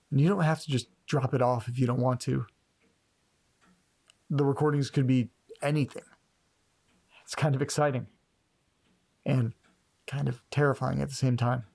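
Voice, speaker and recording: A creaky, medium-pitched male voice from an actor plays an anxious man who doubts himself. He speaks hesitantly and earnestly, as naturalistic dialogue in a horror audio drama about eerie mysteries. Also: fairly even intonation, unhurried, long pauses, darkish tone. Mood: friendly, hopeful.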